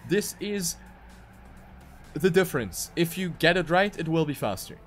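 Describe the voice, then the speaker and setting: A man's voice: a little high, animated, measured pace, faint music behind. A man commentating live on a racing game, calling the action play by play and repeating phrases for emphasis. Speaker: esports race commentator.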